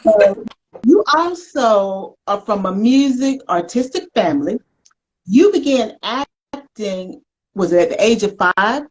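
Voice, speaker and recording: An American woman hosting a conversational interview in an animated, folksy, affirming way. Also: a little high, takes it slowly. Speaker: talk show host. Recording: dull lo-fi web call audio.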